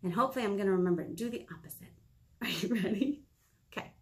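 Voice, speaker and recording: An American woman in her thirties leading a children's storytime, reading aloud and chatting to young listeners in an encouraging, expressive manner. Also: medium pitch, fast talker. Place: small indoor meeting room.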